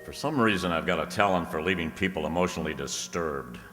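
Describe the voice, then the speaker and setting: Man acting a low-voiced wisecracking private eye, echoey hall. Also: fast talker, music in the background, natural voice. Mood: wry. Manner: wry, hardboiled patter. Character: wisecracking male private detective, dry, streetwise, soft-hearted. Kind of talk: old-time radio detective drama acting and narration. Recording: echoey hall, long reverb.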